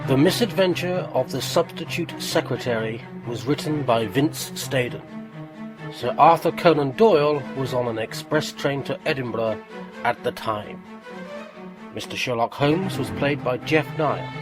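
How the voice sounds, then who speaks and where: A man's voice: mid-range voice, moderate pace. Man reading credits over music. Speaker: credits announcer. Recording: music underneath.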